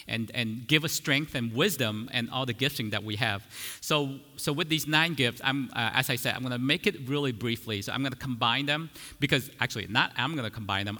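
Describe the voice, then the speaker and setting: A man's voice: medium pitch, bright, forceful tone, in a large hall. A man whose first language is Vietnamese, preaching a teaching sermon earnestly, restarting phrases and using fillers as he explains.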